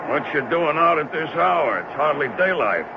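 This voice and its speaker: A man's voice: a little high, big pitch swings, moderate pace, creaky. An actor playing a helpful, plain-spoken male truck driver who asks clipped, folksy questions in a 1970s radio drama. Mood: curious. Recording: very noisy muffled 1970s radio broadcast.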